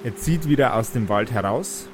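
A man's voice: mid-range voice, lively intonation, moderate pace. Guy running a tabletop game, mid voice, some music behind. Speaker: game master and narrator of a tabletop role-playing game. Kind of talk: live tabletop game narration and improvised dialogue.

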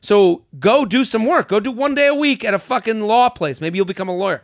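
A man's voice: high-pitched, expressive, fairly quick, no echo, clean studio sound. A man hosting a podcast, reading listener emails aloud and giving crude, joking advice in casual chat. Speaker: podcast host.

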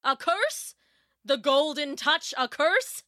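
A woman's voice: sits high, a little slow, pushed and bright, no room sound, studio quality, pitched above the performer's own voice. A woman playing a proud, greedy king and father in a story, declaiming in a pompous, theatrical way. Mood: indignant, boastful.

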